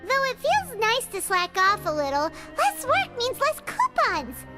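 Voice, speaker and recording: Tiny fairy sidekick, squeaky childlike voice, very high, bouncy intonation, loud game music behind. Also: female voice, big pitch swings, a little slow, exaggerated voice. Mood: nervous. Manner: chatty, talks about herself in third person. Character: tiny floating fairy companion, chirpy and excitable. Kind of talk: video game dialogue.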